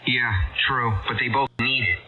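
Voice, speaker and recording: A man's voice: mid-range voice, steady tone, moderate pace. A man in casual technical conversation over amateur radio, with a reassuring, conversational manner, heard through a shortwave transmission. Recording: muffled, noisy ham radio signal.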